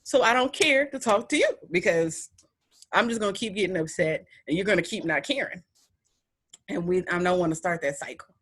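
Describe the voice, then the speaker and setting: A young woman with a deep voice hosting a podcast, chatting in an animated, emphatic way with playful repetition. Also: a little fast, husky. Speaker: podcast host. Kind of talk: casual chat.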